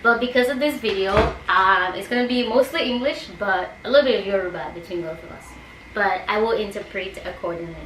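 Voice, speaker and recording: A woman's voice: medium pitch, fairly quick. A woman in her twenties chatting casually on her vlog, rambling and giggly, with lots of "like". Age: 20s. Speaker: vlogger. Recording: roomy with background noise.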